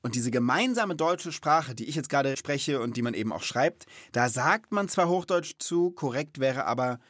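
A male host of a kids' explainer program, his voice leaping up and down with enthusiasm as he jokes and quizzes a young guest. The sound is close and dry, with no room around it. He is playful, curious and quick to react. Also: mid-range voice, no room sound. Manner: animated, joking, asks eager questions. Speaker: host of a children's explainer show. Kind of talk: lively, playful explaining to a child. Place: Munich.

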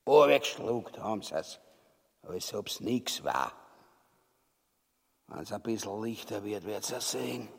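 Bloated tyrant buffoon, Austrian dialect, cruel and cowardly, pompous, very slow delivery, wildly varying pitch, grotesque theatre, echoing hall. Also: male voice, lively intonation, gravelly, breathy edge, heavily acted. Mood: groggy boasting. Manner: pompous, bellowing and muttering, coarse. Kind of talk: grotesque stage comedy acting. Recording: echoey hall with long reverb.

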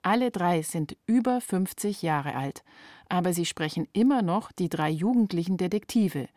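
A woman's voice: on the low side, lively intonation, normal speed, dark tone, no echo, clean studio sound. A woman reporter reading scripted narration for a radio feature.

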